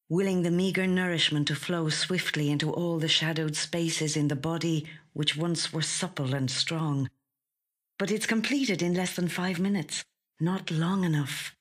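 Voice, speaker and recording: A woman actor reading a literary short story in a measured, dramatic and expressive way. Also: sits low, breathy edge. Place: recording studio.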